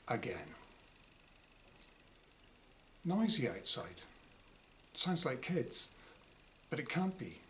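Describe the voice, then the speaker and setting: Man reading wryly, bright voice, noisy recording. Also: medium pitch. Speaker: author reading own short story. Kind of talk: dramatised reading of a first-person diary story. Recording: clear background noise.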